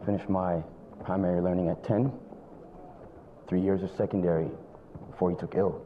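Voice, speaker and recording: An actor playing a polite, educated free man in a period western, speaking in measured, formal phrases. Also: sits low, level tone, lightly acted. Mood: modest, measured. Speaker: actor in a TV drama. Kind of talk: period western screen acting. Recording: muffled TV show playback with outdoor noise.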